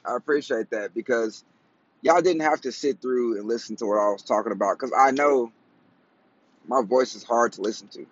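A man in his thirties hosting a casual solo podcast, with a high, raspy, cracky voice, talking in slang, rambling and laughing at his own jokes. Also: moderate pace, lo-fi sound. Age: 30s. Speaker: podcast host.

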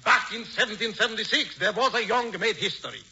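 An actor playing a wily, fawning professor of genealogy with a theatrical, oily manner in a 1940s radio comedy. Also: male voice, high voice, expressive, fast talker, bright, forceful tone, slightly rough, exaggerated voice. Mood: triumphant salesmanship. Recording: noisy, muffled 1940s radio recording.

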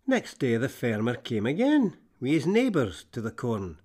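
A man with a Scottish accent reading rhyming fables in Scots as an expressive storyteller. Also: mid-range voice, normal speed. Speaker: storyteller, verse reader.

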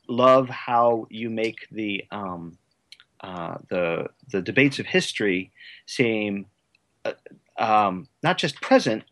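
A man with a fairly low voice talks as a guest in an interview. His delivery is conversational and hesitant, winding through hedged, roundabout phrases. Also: fairly bright tone. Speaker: interview guest, writer and director.

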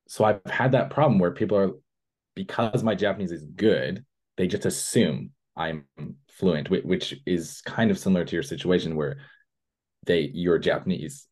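A young man hosting a casual interview chat, relaxed and thoughtful, sharing his own stories. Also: deep voice, husky. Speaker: podcast host.

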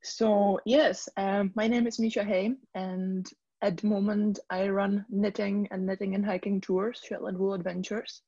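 Woman in her 30s, even and flat delivery, dull dry line. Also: mid-range voice, measured pace, breathy edge, dry recording. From Czech Republic. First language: Czech. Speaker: guest, tour business owner. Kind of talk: conversational interview.

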